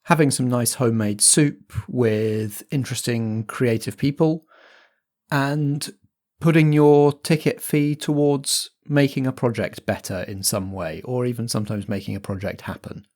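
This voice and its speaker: A man talks as a podcast host, giving announcements in a conversational style. His voice has a medium pitch for a man. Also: fairly even intonation, a little slow, darkish tone.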